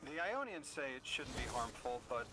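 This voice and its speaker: A man's voice: mid-range voice, big pitch swings, moderate pace, natural voice. Man as an earnest, mild guide over noisy ambience. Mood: explaining. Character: earnest, mild male radio guide, sympathetic to aliens. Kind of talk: scripted video game dialogue. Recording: clear background noise of game ambience.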